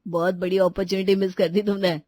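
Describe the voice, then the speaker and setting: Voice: mid-range voice, flat delivery, fairly quick, darkish tone, no room sound, natural voice. A performer voicing a talkative brother-in-law in a family story, speaking in a teasing way. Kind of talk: animated Hindi family story dialogue.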